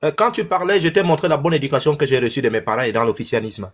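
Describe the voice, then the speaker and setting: A man's voice: medium pitch, rapid-fire. A man from Cameroon with a Cameroonian French accent, lecturing emphatically in a heated online debate and repeating his phrases.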